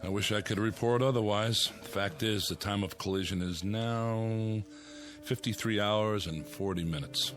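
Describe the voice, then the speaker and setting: An actor playing a stern military general giving a formal briefing in a radio drama. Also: male voice, fairly low pitch, moderate pace, a bit bright, faint music behind, lightly acted. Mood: grave. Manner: formal, authoritative.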